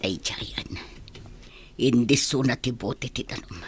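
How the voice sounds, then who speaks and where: A man's voice: medium pitch, slow, lightly acted. A man plays a nosy, grumbling female servant in an audio drama. The voice is hesitant and questioning, given to muttering, over faint background noise. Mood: grumbling, scheming. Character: nosy, grumbling female household helper, called older sister. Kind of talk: amateur audio drama acting.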